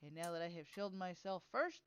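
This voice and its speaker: A woman's voice: lowish voice, lively intonation, fast talker, a bit bright, clean tone. A woman hosting a casual livestream, chatting with joking, sarcastic commentary. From southern United States. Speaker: livestream host.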